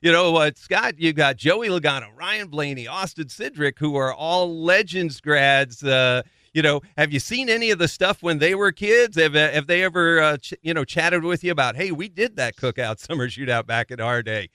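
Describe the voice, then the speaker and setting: A man's voice: on the high side, moderate pace, few pauses, sharp tone, clean tone, no echo, clean studio sound. A man hosting a motorsport radio show, interviewing and reading sponsor plugs in a folksy, playful, wordy way.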